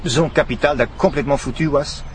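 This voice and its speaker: An actor playing a suave, wealthy, effusive husband with a French accent in a radio drama. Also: male voice, on the high side, brisk, slight background noise, natural voice. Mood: affable, defensive. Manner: effusive, peppering talk with French. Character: suave wealthy French-speaking husband, genial, affected host.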